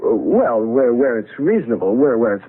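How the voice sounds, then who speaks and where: A man's voice: medium pitch, sing-song, normal speed, husky. An actor playing a nervous, evasive crooked businessman in 1970s radio drama, hesitant and stammering. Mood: contrite, evasive. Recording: muffled vintage radio broadcast.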